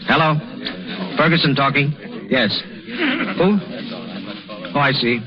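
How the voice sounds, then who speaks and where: A man's voice: fairly high pitch, takes it slowly, crowd noise. A man playing an eager, respectful young hospital doctor in a 1930s radio drama, earnest and polite. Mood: businesslike. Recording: dull, noisy 1930s radio recording.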